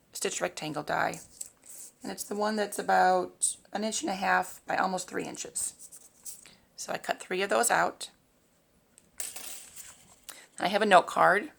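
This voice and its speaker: A woman hosting a casual craft tutorial in a raspy, hoarse voice, talking informally and a little haltingly as she works. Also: fairly low pitch, a bit bright. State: hoarse, throat-clearing from long talking. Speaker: host of a papercraft tutorial. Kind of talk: casual craft demonstration, talking while working.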